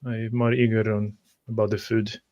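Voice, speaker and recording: Guy roleplaying at a tabletop game, polite formal wording, halting, pretty monotone. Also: on the low side, lo-fi sound. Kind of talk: in-character roleplay.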